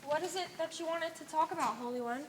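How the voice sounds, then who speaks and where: A woman's voice: high-pitched, fast, slight background noise, lightly acted. A young woman playing an earnest, questioning follower in an amateur stage play, with a hesitant, searching manner. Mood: troubled. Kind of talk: amateur one-act stage play acting. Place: theater stage.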